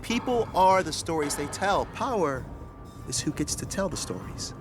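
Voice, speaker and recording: An actor playing a measured, commanding man who speaks in deliberate aphorisms. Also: on the high side, sing-song, very noisy. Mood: measured, intense. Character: measured, commanding male orator on power and stories.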